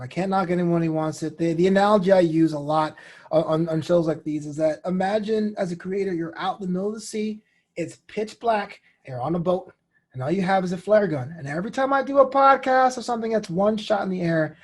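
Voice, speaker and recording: Male interview guest, husky, conversational storyteller who voices imagined quotes. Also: high voice. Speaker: interview guest, actor and podcast host.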